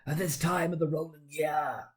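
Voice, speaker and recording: A man voicing an anguished, solemn ghost of a dead businessman in a storybook reading, wailing and declamatory, with drawn-out words. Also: fairly high pitch, steady tone, voice pushed up, natural voice. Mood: mournful urgency.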